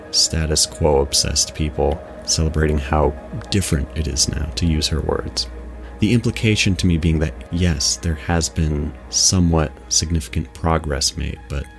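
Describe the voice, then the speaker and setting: Very deep-voiced male podcast host. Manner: articulate, formal. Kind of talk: scripted film commentary.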